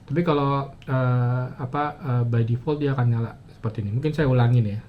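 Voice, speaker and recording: A muffled, slightly dull recording with noticeable background noise carries a man's voice at a medium pitch. He walks through a demonstration casually, step by step, pausing often and thinking aloud as he goes. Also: fairly even intonation. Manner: halting, thinking aloud with frequent pauses. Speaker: motovlogger giving a gear tutorial. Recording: muffled, dull audio with clear ambient noise.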